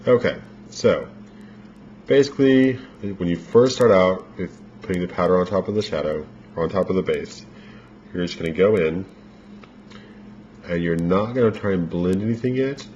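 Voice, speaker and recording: A man giving a casual makeup tutorial, explaining each step in a relaxed, rambling way with many fillers, his voice a little worn as if he is losing it. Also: sits low, normal speed. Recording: dull lo-fi home recording with faint noise.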